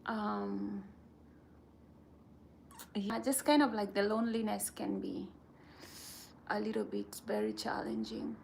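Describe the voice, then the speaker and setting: A woman chats casually in a soft voice with a noticeable creak. She takes her time, rambling and repeating phrases as she goes. There is slight noise behind her. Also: medium pitch, animated, slow pace, pauses often. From Kenya. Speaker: vlogger chatting with viewers. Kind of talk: casual chat.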